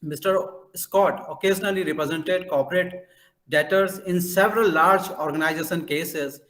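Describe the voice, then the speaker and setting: A man's voice: on the high side, moderate pace, a bit bright, low-quality recording. A man hosting a university session, formally reading out a guest speaker's introduction with occasional slips.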